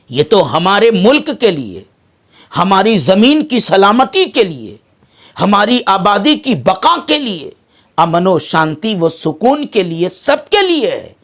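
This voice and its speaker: High-pitched, slow, emphatic Indian preacher. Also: male voice, fairly bright tone. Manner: emphatic, oratorical, with deliberate pauses. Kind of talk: sermon.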